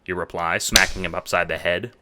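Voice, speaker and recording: A man narrating a second-person audio drama in a dramatic, deliberate way. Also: sits low, little pitch movement, noisy background. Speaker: narrator and producer.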